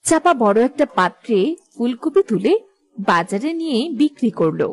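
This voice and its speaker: A woman's voice: fairly high pitch, sing-song, normal speed. A woman narrator reading a scripted cartoon fairy tale.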